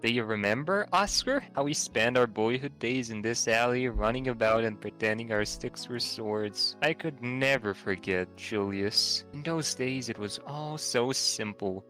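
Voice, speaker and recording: A man's voice: medium pitch, a little slow, few pauses, sharp tone, faint music behind. A man voicing noble young suitors in a game's stage play, speaking formally and sorrowfully. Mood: wistful, sorrowful. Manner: formal, theatrical, sorrowful delivery. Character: noble young knightly suitor, wistful and earnest.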